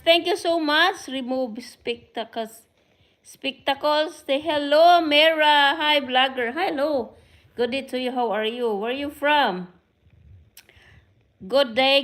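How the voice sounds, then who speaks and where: A middle-aged woman from the Philippines with a Filipino accent, chatting live with viewers, greeting them by name and mixing in Filipino phrases. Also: fairly high pitch. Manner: code-switches, greets commenters by name, self-described fast speaker. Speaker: vlogger hosting a livestream. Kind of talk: casual live chat with viewers.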